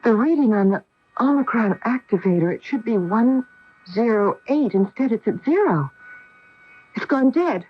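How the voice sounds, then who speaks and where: An actress playing an anxious, insistent astronaut wife in a 1980 radio drama, with earnest, fearful delivery. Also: female voice, fairly high pitch, animated, moderate pace. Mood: alarmed, urgent. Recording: muffled old AM radio broadcast.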